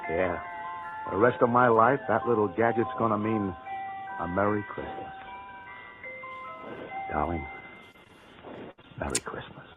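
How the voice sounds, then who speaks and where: Man playing a sincere, grateful reformed husband, lowish voice, 1940s radio drama, narrow muffled sound. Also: measured pace, long pauses, natural voice. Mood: tender, grateful. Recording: muffled vintage radio recording under loud music.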